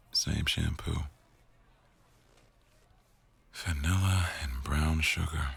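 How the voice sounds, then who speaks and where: A man acting an attentive, tender boss in an intimate boyfriend ASMR roleplay, murmuring slowly to an employee in a gravelly voice. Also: a bit bright, exaggerated voice. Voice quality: very deep, gravelly.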